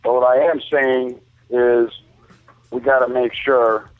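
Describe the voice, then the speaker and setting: A man's voice: mid-range voice, little pitch movement, slow. Male sports caller on a tinny phone line. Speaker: caller to a sports talk show. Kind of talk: casual fan opinion. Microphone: telephone.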